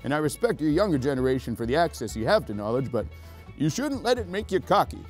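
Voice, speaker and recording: A man's voice: a little high, big pitch swings, quick pace, faint music behind. A man voicing a boastful elderly former cowboy who wrangled spiders and rambles in a folksy way. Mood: lecturing. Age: older.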